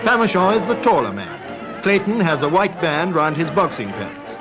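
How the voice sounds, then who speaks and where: Posh brit newsreel man from the 40s, high voice, clipped sports commentary, music blaring behind. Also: big pitch swings, normal speed, poor audio. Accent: British Received Pronunciation. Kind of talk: 1940s British newsreel commentary, scripted. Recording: vintage 1940s film soundtrack, loud music. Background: loud background music.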